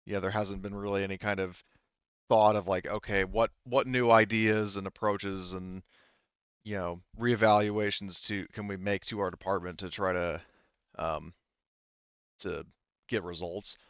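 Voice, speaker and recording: A cautious old-hand prospector, voiced by a man at a game table, resigned and slow to commit. The voice is smooth and somewhat low, hesitating often, on a dry but dull recording. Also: fairly even intonation, no room sound. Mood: resigned, hedging. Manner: hesitant, searching for words. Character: cautious male veteran prospector, resigned, loyal to his job.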